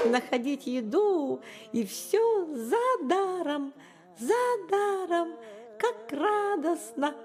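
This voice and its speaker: A little storybook mouse, acted by a woman, sly and lazy. The voice sits very high and lilts in a sing-song, repeating itself. It rings in a reverberant space. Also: darkish tone, heavily acted. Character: small sly lazy female mouse, sweet-talking schemer.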